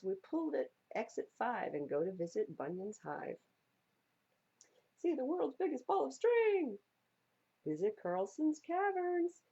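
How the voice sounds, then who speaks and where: A woman reading a picture book aloud at a library storytime in a playful, theatrical way, with a husky voice. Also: a little high, dark tone, highs cut off. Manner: playful, theatrical, stresses and repeats words for young listeners. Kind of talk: expressive read-aloud of children's picture books.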